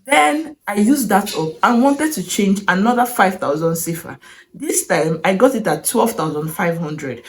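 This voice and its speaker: A young Nigerian woman with a Nigerian accent, chatting casually in a travel vlog and wondering aloud, with short laughs. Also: medium pitch. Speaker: vlogger.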